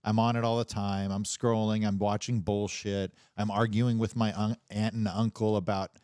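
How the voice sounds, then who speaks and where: A man in a casual livestream conversation, talking in a halting, rambling way with some swearing. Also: on the low side, flat delivery, darkish tone, clean tone, no room sound, studio quality.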